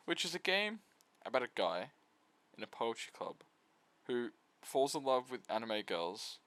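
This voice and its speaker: Man reviewing games with very animated pitch. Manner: irreverent, flippant asides. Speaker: video essayist. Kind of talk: casual video essay review of visual novels.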